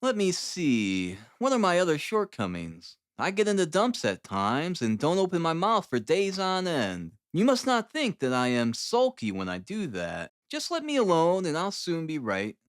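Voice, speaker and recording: A man acts out an excitable, proud gentleman detective from an audiobook. The voice rides high and leaps wildly in pitch as he declaims with great enthusiasm. The sound is dry and close. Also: normal speed, few pauses, clear, resonant tone, no room sound. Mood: cheerful, eager. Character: eager, excitable, proud young male scientist-detective. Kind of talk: audiobook character acting.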